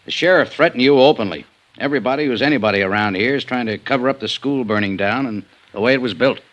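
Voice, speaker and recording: An actor playing a wary, loyal helper in 1950s radio drama style, concerned and cautioning. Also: male voice, mid-range voice, quick pace, a bit bright, lightly acted. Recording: dull old radio transcription.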